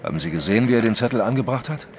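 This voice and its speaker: A big gruff-mannered detective in an audio play, lowish voice, skeptical, dry, lots of up and down. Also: male voice, lively intonation, rapid-fire, darkish tone, slight background noise. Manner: probing, commanding, dry. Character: huge, brusque, skeptical French male detective. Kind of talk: German audio drama acting.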